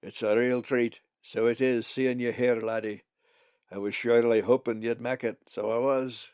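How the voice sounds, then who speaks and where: A man voicing a warm old male raven spirit with a broad Scottish accent, talking loud and hearty. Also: mid-range voice, little pitch movement, normal speed, clean tone, no room sound, highs cut off, natural voice. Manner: hearty, loud, dialect-heavy.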